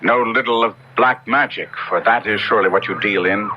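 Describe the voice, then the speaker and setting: A man's voice: on the low side, a little fast, noisy background, close to an everyday voice. An actor playing a despairing, covetous young wastrel who tells his tale in florid, theatrical language in a radio horror drama. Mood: wary. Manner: florid, theatrical first-person storytelling. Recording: muffled vintage radio broadcast, music behind.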